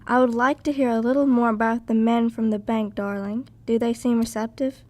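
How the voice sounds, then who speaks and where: A woman's voice: a little high, little pitch movement, a little fast, warm tone, no room sound, lightly acted. A teenage girl in an amateur school radio play, acting a demanding, discontented wife and lady of the manor. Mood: insistent.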